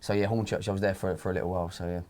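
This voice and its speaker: Fast-talking low Essex man. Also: level tone, darkish tone. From East London, Essex. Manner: quick, matey, says "mate" and "you know". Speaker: actor guest. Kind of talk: casual interview.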